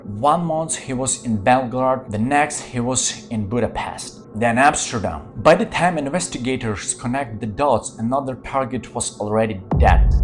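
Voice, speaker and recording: Man narrating true crime. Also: medium pitch. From Ukraine. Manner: dramatic storytelling in non-native English. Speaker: channel host.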